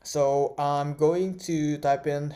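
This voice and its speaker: Mellow-voiced man teaching a course. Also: on the high side, fairly even intonation, slow pace. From Indonesia. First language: Indonesian. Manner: casual, many fillers and tag questions. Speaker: online course instructor. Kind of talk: screen-recorded tutorial.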